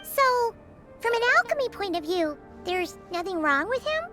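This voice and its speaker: A woman voicing a tiny, excitable companion creature in a video game, with a squeaky childlike voice and an inquisitive manner. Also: normal speed. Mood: curious. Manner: exclamatory, inquisitive. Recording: loud music under the voice.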